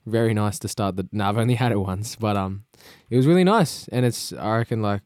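A man's voice: fairly low pitch, a little fast, darkish tone, no echo, studio quality. A young Australian man co-hosting a podcast, chatting casually, rambling a little and laughing often. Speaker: podcast co-host. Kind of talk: casual chat. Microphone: podcast microphone.

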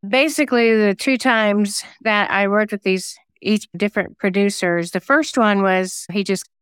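A woman's voice: medium pitch, steady tone, measured pace, a bit bright, clean tone, no echo. A woman who writes songs, chatting casually as an interview guest. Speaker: singer-songwriter guest.

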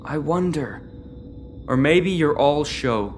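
A man's voice: on the high side, lively intonation, slow pace, faint music behind. A man playing a frustrated, defiant teenage boy in a sci-fi audio drama, argumentative and sarcastic. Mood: defiant, mocking.

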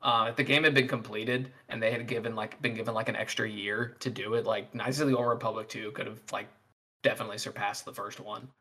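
Man, late twenties, excitable, profane, chatty gamer. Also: on the low side, fast talker. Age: 20s. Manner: excitable, swears freely, lots of fillers. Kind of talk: casual gaming podcast chat.

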